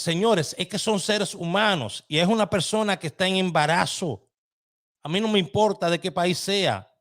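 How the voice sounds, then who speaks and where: Hombre dominicano apasionado, voz algo aguda. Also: male voice, high voice, fairly bright tone. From Dominican Republic. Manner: impassioned, colloquial, addresses listeners directly. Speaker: host. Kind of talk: live opinion commentary.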